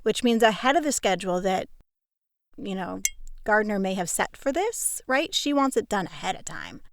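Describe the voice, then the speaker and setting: Casual woman, mid-pitched voice. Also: expressive, moderate pace, creaky, a little hiss or hum. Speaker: cast member in a behind-the-scenes discussion. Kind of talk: casual discussion.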